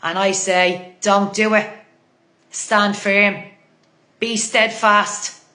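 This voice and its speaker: A woman's voice: mid-range voice, steady tone, extremely slow, sharp tone, roomy sound. An Irish woman with a Dublin accent delivering a heated, combative monologue to camera, blunt and full of swearing. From Ireland.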